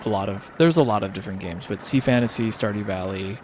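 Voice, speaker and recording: A tired man whose voice is starting to go hoarse, rambling casually and thinking aloud as he hosts a gaming livestream. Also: on the low side, fast talker, noisy background. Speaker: livestreamer hosting a charity gaming stream. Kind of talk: casual unscripted game-stream chat.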